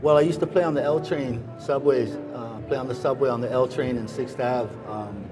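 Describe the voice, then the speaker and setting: A middle-aged man from Hawaii, a musician, answering interview questions in a relaxed, rambling way with many pauses and restarts. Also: medium pitch, over music. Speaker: musician guest. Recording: busy crowd noise behind.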